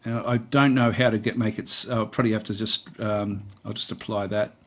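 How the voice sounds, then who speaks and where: A man giving a casual, unscripted software tutorial, hesitant and thinking aloud as he presents. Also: fairly low pitch, little pitch movement, a little fast.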